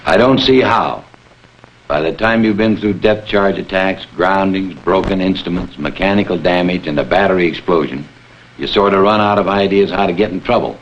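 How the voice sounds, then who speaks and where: A man's voice: sits low, normal speed, slight background noise. A middle-aged man, a naval officer, answering as a guest in a formal 1950s television interview. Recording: dull 1950s TV soundtrack.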